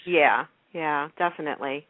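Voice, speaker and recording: A woman's voice: low-pitched, normal speed, no echo. A woman making casual, agreeable conversation on a radio talk show in an informal way. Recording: narrow phone-like radio sound.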